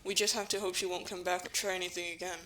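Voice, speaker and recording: A woman's voice: on the low side, level tone, quick pace, fairly bright tone, natural voice. A woman playing an earnest, determined young human hero who protects friends, in an audio drama. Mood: calm, hopeful. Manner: earnest, firm.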